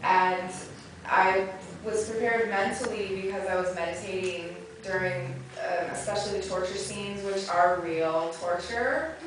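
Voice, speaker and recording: Actress at a film q&a, halting careful talker, super echoey theatre, noisy recording. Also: female voice, mid-range voice, fairly even intonation, distant mic. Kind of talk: panel Q&A answer. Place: movie theatre Q&A.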